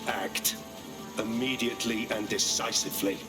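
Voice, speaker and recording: A male actor plays a commanding, menacing boss of a private army in a game cutscene. His low voice moves through grand speeches with grave deliberation, over prominent music. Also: fairly even intonation, normal speed, natural voice. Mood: ominous, assured. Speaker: private military company chief executive. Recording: music behind the voice.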